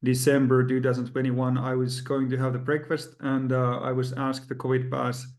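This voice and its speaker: A man from Finland hosting an interview over a video call, speaking haltingly with many fillers. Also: mid-range voice, completely flat delivery, a little mellow. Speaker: host.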